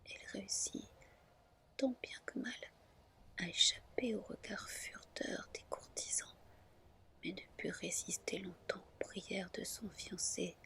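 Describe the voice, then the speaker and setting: A woman narrating a fairy tale gently and in measured phrases, in a whispered ASMR style. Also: fairly low pitch, vocal fry. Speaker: ASMR storyteller.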